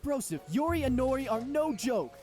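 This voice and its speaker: An excitable teenage boy acted by a man with dramatic swings in his voice and a slangy, jokey slacker manner. He speaks as a visual novel buddy over clear background music. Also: very high-pitched, normal speed, pitched above the performer's own voice, heavily acted. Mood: spooky, serious. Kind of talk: visual novel character dialogue.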